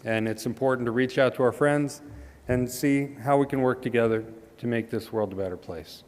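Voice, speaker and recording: A man's voice: mid-range voice, normal speed, a little mellow, slight background noise. Man giving a joking speech in a reverberant hall. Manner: dry joking delivery with hesitant fillers. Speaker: ambassador introducing a speaker. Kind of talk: humorous speech introduction. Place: large hall.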